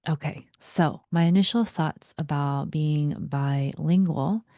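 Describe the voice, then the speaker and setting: A young woman whose first language is Vietnamese, giving a reflective guided talk in a soft voice that often slips into a breathy whisper. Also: deep voice, slow pace, dry recording, clean studio sound. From United States. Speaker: vlogger.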